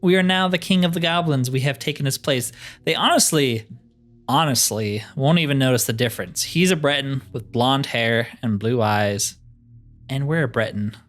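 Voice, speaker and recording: A man's voice: medium pitch, normal speed, a bit bright, soft background music. A man giving casual, chatty gameplay commentary as a video host, thinking aloud in an easygoing way.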